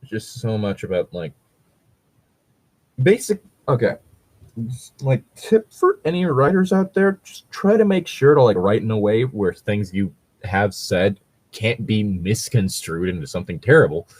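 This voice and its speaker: A man's voice: on the low side, very animated, unhurried, a little mellow, no room sound. A man casually reading and critiquing a story as a podcast host, rambling, sweary and critical. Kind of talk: casual commentary with read-aloud passages.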